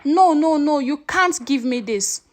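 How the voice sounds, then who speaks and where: A woman's voice: high-pitched, animated, a little slow. A woman storyteller voicing an anxious married man who speaks carefully and defensively. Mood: panicked. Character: anxious married man, polite, pleading with hostile in-laws.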